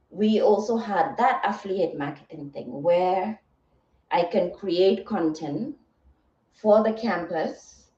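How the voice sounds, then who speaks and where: A woman's voice: mid-range voice, warm tone. A woman giving a live motivational talk in a soothing, earnest and reflective way, often checking in with her listeners. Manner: earnest, reflective, rhetorical check-ins like "right?" and "okay". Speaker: livestream host and motivational content creator. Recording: roomy echo, distant mic, slightly muffled.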